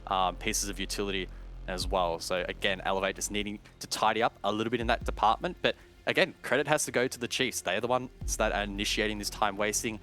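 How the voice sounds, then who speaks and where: A man's voice: on the low side, fast talker, sharp tone, soft background music. A man giving live analytical color commentary on an esports match, breaking down plays with occasional fillers. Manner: analytical, thinks aloud with fillers.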